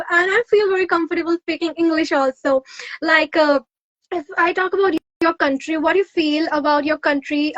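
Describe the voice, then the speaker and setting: Very high-pitched Bengali Indian woman host. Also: dry recording. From West Bengal, India. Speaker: interview host. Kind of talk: casual interview chat. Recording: dull lo-fi, dry.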